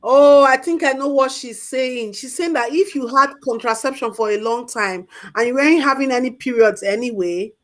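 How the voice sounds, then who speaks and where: A woman with a somewhat high voice gives health advice on a talk show. She speaks emphatically and hammers her main points home by repeating them. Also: moderate pace, hardly any pauses. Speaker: health expert guest.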